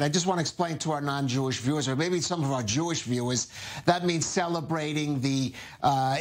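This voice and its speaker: A middle-aged man, a TV news anchor, asking conversational, explanatory questions in a studio interview. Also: mid-range voice.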